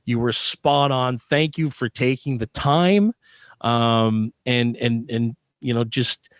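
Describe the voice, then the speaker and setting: A man's voice: medium pitch, steady tone, takes it slowly, clear, resonant tone, dry recording, clean studio sound. A Canadian man answering as a guest in a conversational interview, earnest and emphatic, repeating phrases for stress. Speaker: sports arena PA announcer being interviewed.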